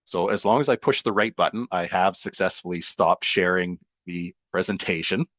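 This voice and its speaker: A man working as an economic development advisor, presenting in a webinar in a friendly, measured way that softens at the ends of sentences. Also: low-pitched, dry recording. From Canada.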